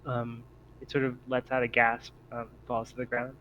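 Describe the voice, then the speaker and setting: A man acting as game master, casually narrating and explaining rules with a hesitant, halting delivery. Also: mid-range voice, completely flat delivery, lo-fi sound, slight background noise.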